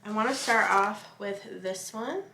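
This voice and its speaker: A woman in her late twenties chats casually to her viewers, relaxed and friendly, as if showing off a craft project at home. Her voice sits at an ordinary middle pitch, and the room around her rings with a noticeable echo. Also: a little slow, vocal fry, slight background noise. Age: 20s, about to turn 30. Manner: chatty, relaxed, talks to viewers as "guys". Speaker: vlogger. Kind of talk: casual vlog chat. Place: home office.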